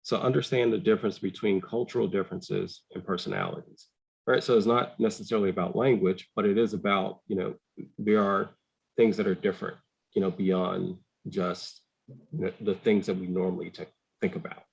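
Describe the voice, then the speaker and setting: A man moderating a virtual panel, briskly keeping the discussion on time. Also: deep voice, steady tone, vocal fry, breathy edge, low-quality recording.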